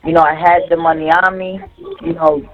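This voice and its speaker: A woman's voice: low voice, flat delivery, a little fast. A dull, lo-fi phone recording carries a woman's voice. She talks casually and lays things out step by step, as if giving instructions. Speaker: caller on a jail phone call. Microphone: telephone.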